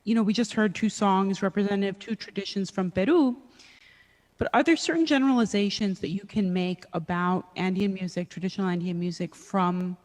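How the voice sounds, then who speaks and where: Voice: mid-range voice, fairly even intonation, brisk, darkish tone. Polite interviewer with echo. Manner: polite, thoughtful, long searching questions. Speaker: interviewer presenting a workshop. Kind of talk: interview questions. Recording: long reverb.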